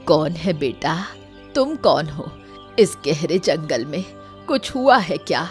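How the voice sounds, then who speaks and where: A kindly old woman played by a female actor in a cartoon forest tale. She speaks very slowly in a lowish, gentle voice, with soft music behind. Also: big pitch swings, exaggerated voice. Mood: kindly, calm. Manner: gentle, reassuring. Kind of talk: Hindi cartoon moral-story acting.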